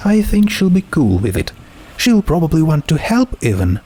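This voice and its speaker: A man's voice: on the high side, very animated, moderate pace, mellow, husky, voice pushed up. A man voicing a friendly, homesick teenage girl in a storybook, talking in a casual, chatty way. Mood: cheerful, hurried.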